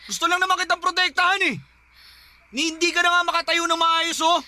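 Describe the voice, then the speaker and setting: High-voiced, protective young man. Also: fairly even intonation, brisk, pushed and bright, heavily acted. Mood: stern, protective. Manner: earnest, insistent. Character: protective, frustrated young man secretly in love. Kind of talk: Tagalog radio drama acting. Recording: music bed behind the dialogue.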